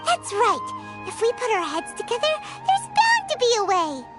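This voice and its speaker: A woman voicing a tiny, cheerful floating girl companion in a video game, with a squeaky childlike voice and an eager, encouraging manner. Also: wildly swooping pitch, normal speed, full character voice. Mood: cheering. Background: game music.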